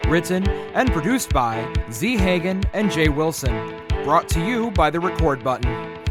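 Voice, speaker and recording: A man's voice: a little high, big pitch swings, unhurried. A man reading scripted closing credits as an announcer. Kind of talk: scripted narration. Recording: loud music under the voice. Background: loud instrumental music.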